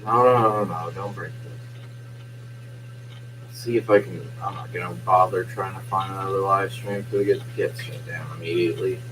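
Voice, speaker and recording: A young man losing his voice, talking quietly while streaming a live play-by-play of a game from home at night. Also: low-pitched, steady tone, moderate pace, a little hiss or hum, poor audio. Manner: quiet, subdued talk. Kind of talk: casual live-stream sports commentary.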